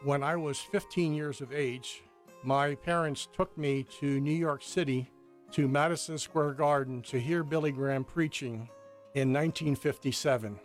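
A man's voice: fairly high pitch, steady tone, normal speed. An older man from the United States, a native Hungarian speaker, recounting his personal story as a missionary in an interview. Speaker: missionary giving testimony. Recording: radio interview with music underneath. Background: music.

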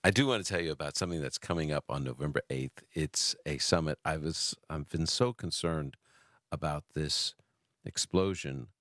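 A man's voice: rumbling low, moderate pace, fairly bright tone, no echo, studio quality. An American man hosting a radio call-in show in a chatty way, often repeating and restarting his phrases. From Brooklyn, New York, United States. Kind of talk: casual talk radio, answering callers.

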